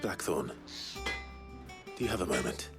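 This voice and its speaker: A man's voice: rumbling low, sing-song, a little slow, creaky, full character voice. An actor playing a brooding, earnest male fantasy hero in a video game, terse, solemn and restrained. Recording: music behind the voice.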